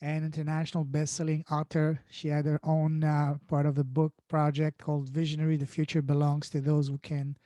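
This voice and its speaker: A man's voice: a little high, monotone, brisk, hardly any pauses, dark tone, dry recording. A man whose native language is French, hosting a podcast in an effusive, enthusiastic way and often repeating words. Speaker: podcast host. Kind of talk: enthusiastic podcast introduction and interviewing.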